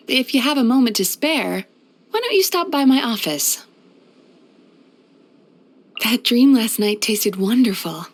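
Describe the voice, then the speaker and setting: A woman voicing a gentle, caring, centuries-old dream-eating spirit who works as a therapist in a video game, speaking in a soothing, polite way. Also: mid-range voice. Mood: gentle, inviting. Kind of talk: video game character voice lines.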